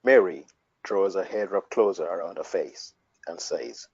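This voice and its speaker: A man's voice: sits low, measured pace, vocal fry, darkish tone, slightly rough, no echo, dull audio. A man reading scripted narration for an audio play.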